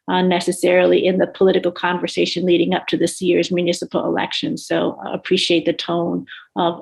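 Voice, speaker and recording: A woman's voice: fairly low pitch, gravelly. A woman speaking as an academic panelist in an unscripted discussion, reflective and explanatory. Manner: reflective, explanatory, often checks with "right?".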